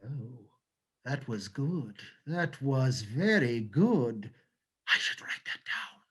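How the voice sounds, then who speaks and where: A woman's voice: very deep voice, big pitch swings, slow, breathy edge, full character voice. A woman voicing a pompous, self-important old owl in a stage play reading, grandiloquent in manner. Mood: self-satisfied.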